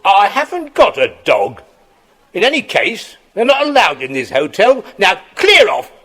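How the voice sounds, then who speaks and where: A man's voice: high-pitched, wildly swooping pitch, measured pace, pushed and bright, exaggerated voice. An actor playing a hostile young man with a curt, dismissive manner in a children's audio drama. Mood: angry, dismissive. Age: young adult. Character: surly, hostile young man staying at a hotel.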